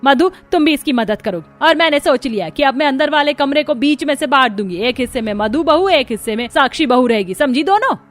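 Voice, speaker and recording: Nagging, high-pitched Indian mother-in-law. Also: expressive, brisk, few pauses. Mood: firm. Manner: nagging, melodramatic. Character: scolding, scheming middle-aged Indian mother-in-law. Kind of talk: animated moral-story voice acting.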